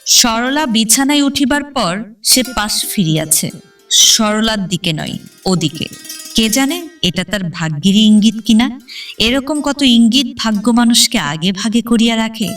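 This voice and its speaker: Higher-pitched female story narrator over music. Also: expressive, a little slow, a bit bright, far from the mic. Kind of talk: dramatic scripted literary narration. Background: background instrumental music.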